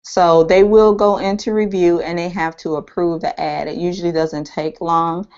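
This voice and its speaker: Woman trainer, low-ish voice, going hoarse, rambling screen-share delivery, dull lo-fi computer-mic sound. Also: little pitch movement, moderate pace, darkish tone. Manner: rambling, thinking aloud with many ums. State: losing her voice, a bit hoarse. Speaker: online marketing trainer. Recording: dull lo-fi screen-capture audio.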